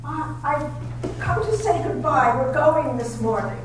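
An amateur actress in a staged radio drama reading, playing a shy young woman who speaks hesitantly and softly, full of self-doubt. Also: high voice, animated, takes it slowly, slight background noise. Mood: anxious. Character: shy, timid young female paid companion. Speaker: amateur readers theater actress. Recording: echoey hall, far from mic.

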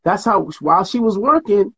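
A middle-aged man from Trinidad and Tobago, telling stories in a laid-back, slangy way. His voice is husky and a little dull, coming through a webcam on a video call. He sounds like a relaxed guest chatting from his home. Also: high voice, normal speed. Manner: laid-back storyteller, slangy, laughs often. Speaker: artist guest. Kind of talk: casual interview chat. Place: home room with painted walls.

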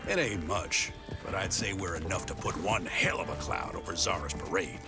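A man playing a steady, folksy cowboy sheriff in an audio drama. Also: sits low, moderate pace, over loud music, close to an everyday voice. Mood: steady, rallying. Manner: folksy Western cowboy talk.

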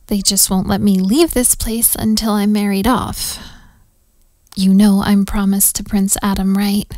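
Bratty princess, twenties, female actor, playful and flirty, very slow delivery, close dry sound, intimate audio roleplay. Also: medium pitch, no echo. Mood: bored, wistful. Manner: teasing, giggly, casual modern phrasing, dropping into whispers.

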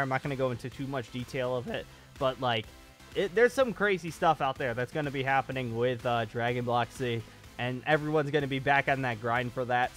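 A man's voice: mid-range voice, fairly bright tone. Rambling young man over background music. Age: 20s. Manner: rambling, informal, with frequent "like". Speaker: gaming YouTuber. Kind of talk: casual channel update chat. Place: small home studio room with acoustic foam. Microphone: desk microphone on boom arm. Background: clear background music.

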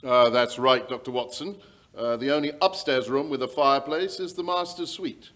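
A man's voice: medium pitch, moderate pace, a bit bright, natural voice. An older man playing a stern but flustered lord of the manor in a radio drama, hesitant and blustering. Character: flustered, stern aristocratic husband and master. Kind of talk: old-time radio drama acting. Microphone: stand microphone.

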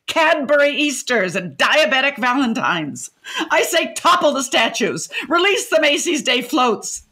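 Indignant, sardonic older widow. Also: female voice, sits high, very animated, a little slow, sharp tone, slightly rough, heavily acted. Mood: dry, disapproving. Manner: wordy, theatrical, dryly sardonic. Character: indignant, garrulous, opinionated older widow dining alone. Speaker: lead actress in a radio play. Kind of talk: comedic radio drama acting.

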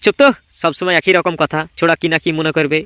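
A man's voice: a little high, steady tone, fast talker, bright, forceful tone, smooth, no echo. A man voicing a bossy but obliging village boss in a comedy cartoon, talking colloquially. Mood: scolding. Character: easily swayed village boss, an adult man. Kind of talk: Bengali comedy cartoon voice acting.

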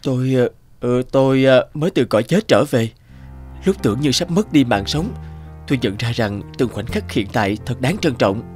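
An actor playing a shy, heartfelt man in an animated romance, speaking hesitantly and earnestly. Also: medium pitch, normal speed, faint music behind, close to an everyday voice. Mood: nervous. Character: shy, heartfelt man confessing his love. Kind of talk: animated drama voice acting.

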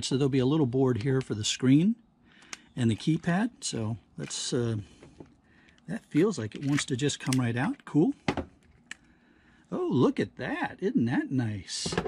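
A man giving casual hands-on demonstration commentary, halting and thinking aloud as he works at a workbench. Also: on the high side, darkish tone. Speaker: host of a scrapping how-to channel. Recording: clear background noise, handling clicks.

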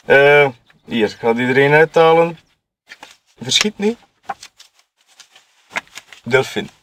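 An actor with a Flemish accent playing a sleazy, cocky photographer and dealer who taunts in colloquial, smug speech. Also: male voice, mid-range voice, lively intonation, slow pace, fairly bright tone, slight background noise. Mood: conspiratorial, insistent. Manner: colloquial, taunting, tag questions, chuckling self-justification. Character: sleazy, cocky male photographer and drug dealer, smugly mocking. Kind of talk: audio murder-mystery game acting.

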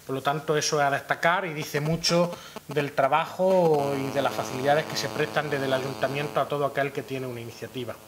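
A young man with an Andalusian Spanish accent giving a formal press conference speech, deliberately setting out his points. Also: on the high side, steady tone, poor audio. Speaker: regional youth official. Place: indoor press room at town hall. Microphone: gooseneck table microphone.

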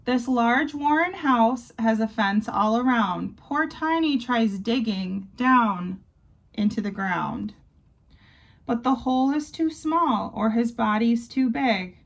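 A woman in her twenties reading a children's picture book aloud in measured phrases, pausing between them. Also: fairly high pitch, lively intonation, vocal fry. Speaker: volunteer storybook reader. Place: small wood-panelled bedroom indoors.

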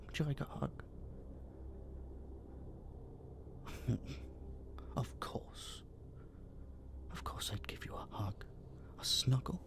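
An obsessive, possessive masked kidnapper played by a man in an intimate audio drama. He speaks very slowly and half in whispers, with a heavy gravelly creak. His manner is dominant, cooing and teasing, coaxing with open menace. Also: medium pitch. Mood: mock soothing.

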